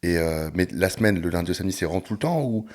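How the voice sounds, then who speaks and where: A man with a very deep, low voice hosts a casual podcast conversation. He talks in a relaxed way, pausing often with hesitations. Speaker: podcast host and coach.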